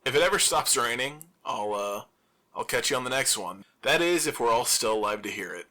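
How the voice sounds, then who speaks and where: An actor playing an anxious, overthinking zombie apocalypse survivor who broadcasts alone, rambling and self-deprecating in a halting confessional radio monologue. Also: male voice, medium pitch, lively intonation, bright, forceful tone. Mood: wistful, self-deprecating.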